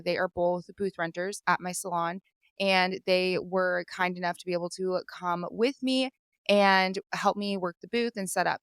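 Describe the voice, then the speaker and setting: A woman's voice: fairly low pitch, clear, resonant tone, dry recording, clean studio sound. A woman from Washington state hosting her own podcast, chatting casually and rambling with lots of filler words from a hotel room. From Vancouver, Washington, USA. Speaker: podcast host. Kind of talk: casual solo podcast recap.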